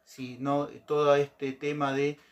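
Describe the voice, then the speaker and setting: A man's voice: fairly high pitch, completely flat delivery, slow pace, highs cut off. A middle-aged man telling a folk legend to camera from a living room sofa. He explains step by step and keeps checking in with his listeners. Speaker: storytelling show host. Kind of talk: casual storytelling to camera.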